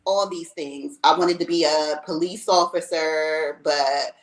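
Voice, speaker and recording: Woman guest from washington d.c., casual, low voice, echoey room, video call. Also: fairly even intonation, measured pace. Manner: casual, laughs easily, calls host girl. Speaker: guest, makeup artist.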